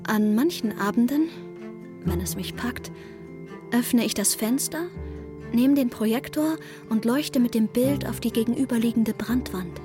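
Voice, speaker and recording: Actress as introspective young woman over soft music. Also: on the high side, unhurried, lightly acted. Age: 20s. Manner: intimate, reflective inner monologue. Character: introspective, restless young Berlin woman in her twenties. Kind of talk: radio drama first-person narration.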